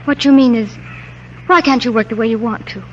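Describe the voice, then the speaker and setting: An American actress playing a devoted, perceptive young doctor's wife in a 1940s radio drama. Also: female voice, a little high, a little fast, close to an everyday voice. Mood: gently insistent. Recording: muffled, faintly noisy 1940s radio recording.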